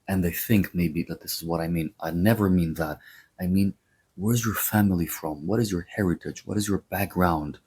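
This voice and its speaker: Male commentator, deep and husky, measured delivery. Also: darkish tone. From Greece. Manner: measured, explanatory, opinionated asides. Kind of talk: scripted commentary to camera.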